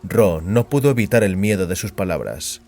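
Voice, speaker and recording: A man narrating a dramatized story as scripted fiction, in a grave, deliberate storytelling manner. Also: deep voice, expressive, darkish tone. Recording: music behind the voice.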